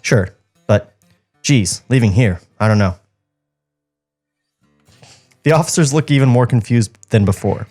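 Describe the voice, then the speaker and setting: A man's voice: medium pitch, animated, measured pace, long pauses, a little mellow, breathy edge, soft background music. A man co-hosting a podcast, reading script directions aloud with sarcastic asides.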